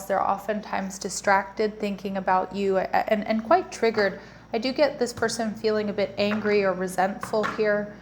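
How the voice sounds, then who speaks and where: Croaky woman giving a chatty tarot reading. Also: mid-range voice, slight background noise. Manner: chatty, repeats the listener's sign often; frequent restarts and fillers, warm addressing. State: croaky from a cold. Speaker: tarot reader.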